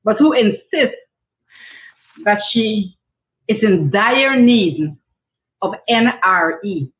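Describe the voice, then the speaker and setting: A woman's voice: medium pitch, lively intonation, slow pace. A woman reading out an interview question on a talk show, over a roomy, thin phone-like connection.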